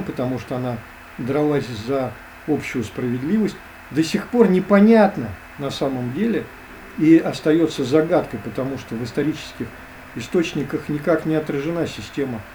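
A man talking as a guest in an interview, hesitant and full of drawn-out fillers. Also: a little high, dark tone, a little hiss or hum, rough recording. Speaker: guest expert. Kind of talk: conversational interview.

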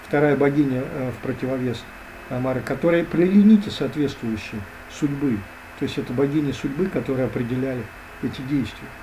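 Man, interview guest, mellow tone, pauses and fillers, slight background hiss. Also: medium pitch, rough recording. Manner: hesitant, many drawn-out fillers. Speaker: guest expert. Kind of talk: conversational interview.

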